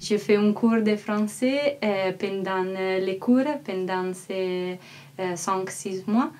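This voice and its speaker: A woman in her twenties with an Italian accent, an exchange student speaking shyly with many pauses. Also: medium pitch, a little mellow. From Italy. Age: 20s. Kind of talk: testimonial interview.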